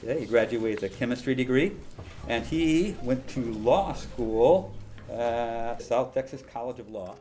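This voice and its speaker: A man's voice: medium pitch, a little slow, dark tone. A man, a professor, giving an informal live introduction of a seminar speaker. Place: indoor seminar room.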